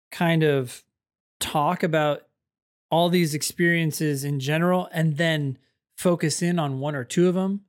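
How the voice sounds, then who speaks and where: A man co-hosting an advice podcast in a casual, conversational way, thinking aloud as he goes. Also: on the high side. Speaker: podcast host.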